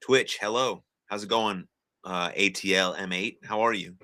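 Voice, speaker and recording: A young American man with a low voice and a bright, forceful edge hosts a live stream. He chats casually and encouragingly with his viewers, filling pauses with plenty of "uh"s. The delivery stays loose and unscripted. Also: steady tone. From United States. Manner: chatty, encouraging, frequent filler words. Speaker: livestream host.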